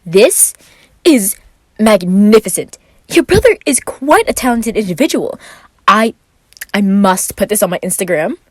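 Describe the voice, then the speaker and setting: Woman playing an exuberant, theatrical young man, pitched up. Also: expressive, a little slow, no echo. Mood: delighted, gushing. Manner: dramatic, flowery, effusive. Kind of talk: fan fiction audio reading.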